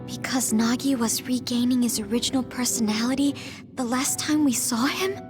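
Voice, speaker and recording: A woman's voice: fairly high pitch, moderate pace, lightly acted. A woman playing an earnest young female squadmate in an anime game. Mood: questioning. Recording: game music behind the voice.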